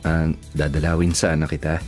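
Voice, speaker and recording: A courteous, hesitant suitor in a radio drama. His voice is extremely low and dark, with faint music behind it. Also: flat delivery, moderate pace. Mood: shy, earnest. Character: hesitant, polite young male suitor, police captain. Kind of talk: Filipino radio drama acting.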